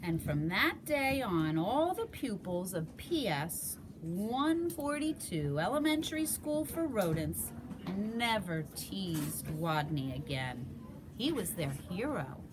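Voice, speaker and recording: A woman teacher reading a picture book aloud, telling it slowly and expressively. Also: medium pitch, noisy background. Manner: slow, expressive storytelling.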